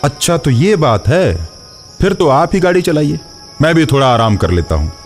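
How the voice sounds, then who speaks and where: A man's voice: a little high, big pitch swings, normal speed, music in the background. A man voicing a polite, uneasy, deferential truck driver in a cartoon horror story. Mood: yielding.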